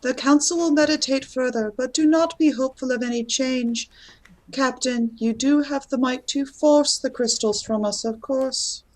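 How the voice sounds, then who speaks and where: A woman playing a solemn, unyielding female alien councillor in a sci-fi fan audio drama, speaking formally and gravely. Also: high voice, normal speed, darkish tone, natural voice. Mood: solemn, resolute.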